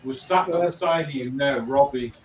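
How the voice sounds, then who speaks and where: A man's voice: medium pitch, normal speed, slight background noise. A man telling a short story plainly in a casual video chat. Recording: echoey room, far from mic.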